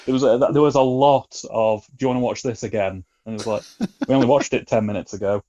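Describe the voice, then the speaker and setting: A man's voice: lowish voice, steady tone, fast, mellow, muffled recording. A British man chatting casually as a podcast guest, with a wry, colloquial way of talking. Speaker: podcast guest, film reviewer.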